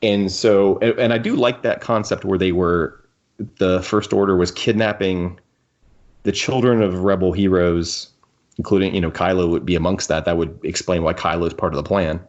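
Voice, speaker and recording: Man, lowish voice, casual podcast co-host, conversational, slightly muffled lo-fi sound. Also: fairly even intonation, normal speed. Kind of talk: casual film and book discussion.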